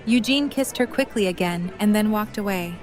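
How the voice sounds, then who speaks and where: A woman's voice: medium pitch, moderate pace, faint music behind, a faint crowd. A woman narrating a fan audio drama, reading scripted story prose.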